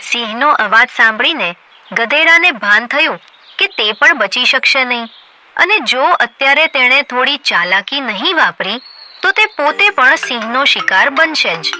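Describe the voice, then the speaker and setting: High-voiced woman narrating a cartoon story. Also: pushed and bright, poor audio. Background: background music.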